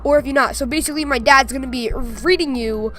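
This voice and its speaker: A woman's voice: high voice, fast, fairly bright tone. A young woman giving a casual spoken introduction as the host of a video channel.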